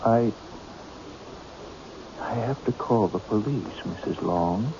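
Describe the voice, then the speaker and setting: Grave, low-voiced doctor in radio drama. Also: male voice, slow, creaky. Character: grave, cautious male family doctor. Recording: very noisy, dull old radio recording.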